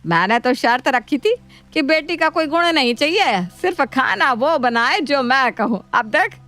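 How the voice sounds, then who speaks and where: A woman's voice: high voice, lively intonation, a little slow, pushed and bright, heavily acted. A woman voicing a gluttonous, bossy mother-in-law in a cartoon story, dramatic, whiny and wheedling. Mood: smug, bossy. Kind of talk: animated Hindi moral-story cartoon.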